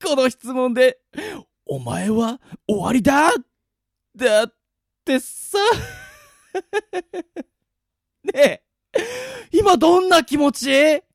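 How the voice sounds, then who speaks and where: A woman voicing a bratty, excitable young boy in a Japanese radio drama, with childish but rough boyish speech. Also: high-pitched, extremely slow, pauses often, dry recording, studio quality, exaggerated voice. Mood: smug teasing. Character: bratty, smug young boy player, easily panicked.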